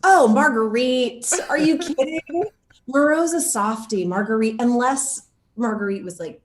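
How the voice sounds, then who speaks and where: A woman's voice: on the high side, moderate pace. Woman chatting in an echoey room. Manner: animated, playful, acts out lines as she talks. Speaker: actor being interviewed. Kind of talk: casual interview chat.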